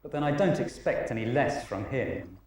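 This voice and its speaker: A man's voice: mid-range voice, expressive, normal speed, darkish tone, slight background noise, close to an everyday voice. A man playing a courteous, nostalgic male village chief in an amateur audio drama, speaking with old-fashioned politeness. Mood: wistful. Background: music.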